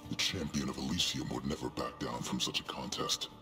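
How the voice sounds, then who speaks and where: An actor playing a huge, proud, gruff bull-man warrior in a video game, gravelly and booming, speaking in terse, solemn declarations. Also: fairly even intonation, a little fast. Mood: defiant. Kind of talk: video game character voice acting. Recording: reverberant voice over game music.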